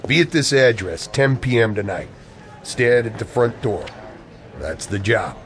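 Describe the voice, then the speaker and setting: A man's voice: on the low side, expressive, a little slow, fairly bright tone. A man playing a shady, secretive figure in a noir radio drama, giving terse orders in clipped phrases. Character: shady, secretive male acquaintance offering a suspicious job.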